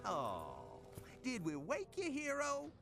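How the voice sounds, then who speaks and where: A man's voice: very high-pitched, huge pitch swings, takes it slowly, vocal fry, heavily acted. A man playing a corrupt prison warden in a video game, mock-friendly and sarcastic. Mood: mocking menace. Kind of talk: video game villain acting. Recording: game music behind the voice.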